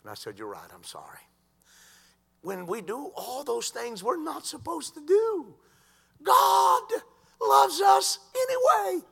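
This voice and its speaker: A man preaching a sermon in a church, swinging from quiet talk to shouted crescendos. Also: extremely high pitch, sing-song, slow pace, creaky.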